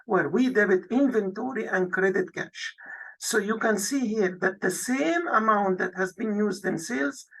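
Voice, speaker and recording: A woman with a medium-pitched voice delivers an instructional lecture. She moves through worked examples in a didactic, step-by-step way. Key points come back in parallel, repeated phrases. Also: poor audio. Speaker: accounting lecturer.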